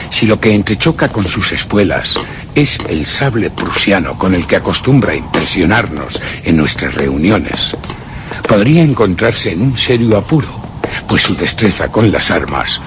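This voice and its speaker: A man's voice: low-pitched, background noise, full character voice. A man with a Castilian Spanish accent playing a scheming, brooding murderer who narrates theatrically in a radio suspense drama. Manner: theatrical, literary, ominous, dropping to whispers.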